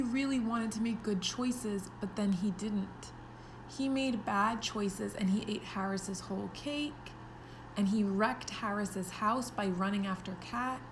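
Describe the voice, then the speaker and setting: A woman's voice: medium pitch, background noise. A woman teaching pre-kindergarten children, reading a story aloud expressively and explaining it in simple words.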